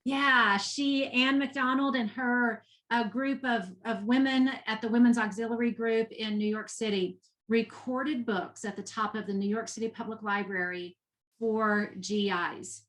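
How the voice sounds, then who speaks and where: A woman's voice: a little high, fairly even intonation, a little slow, fairly bright tone. A woman with a Texas accent, a guest in an interview, speaking with passion and repeating words for emphasis. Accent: Texan. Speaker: guest, nonprofit education executive.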